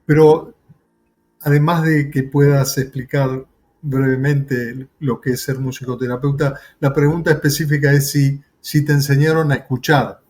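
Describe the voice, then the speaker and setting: A man with an Argentine Spanish accent hosting an interview, asking measured questions with hesitant fillers. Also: medium pitch, little pitch movement, darkish tone. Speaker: interview host. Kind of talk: conversational interviewing.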